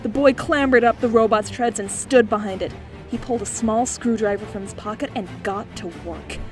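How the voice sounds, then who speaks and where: A woman narrating a dieselpunk noir audiobook with steady, clear storytelling. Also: a little high, moderate pace, soft background music. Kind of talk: scripted narration.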